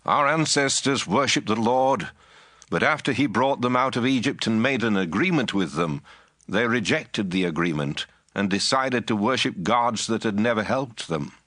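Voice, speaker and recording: A grave man of Israel in a Bible audio drama. His voice is fairly low and he speaks in solemn declarations, recorded very dry. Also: lively intonation, moderate pace, fairly bright tone, dry recording. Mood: grave, somber. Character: grave man of Israel explaining divine punishment.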